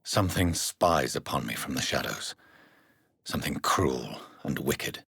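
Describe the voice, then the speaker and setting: A man playing a grave, courteous vampire physician in a video game, with a British accent and a formal, measured, theatrical delivery. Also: rumbling low, lively intonation, vocal fry, fairly bright tone, breathy edge, dry recording, studio quality, full character voice. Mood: brooding, ominous. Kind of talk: dramatic video game voice acting.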